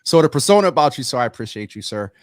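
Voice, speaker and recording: A man's voice: medium pitch, sing-song, rapid-fire, dry recording, studio quality. A man hosting a live talk show, speaking in a familiar, casual way with many fillers, reading out viewer comments.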